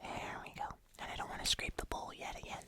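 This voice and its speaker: An ASMR host talking to viewers in a soft, unvoiced whisper, chatty, casual and unhurried, close to the microphone. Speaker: host of an ASMR eating video.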